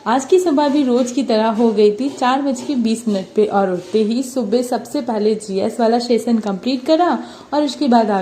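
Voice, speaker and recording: A woman's voice: a little high, mellow, a little hiss or hum. A young woman vlogging about her study routine, earnest and encouraging, with a sore throat from a cold. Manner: earnest, encouraging, mixes English words into Hindi. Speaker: study vlogger, mother preparing for police exam. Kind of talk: casual motivational vlog talk.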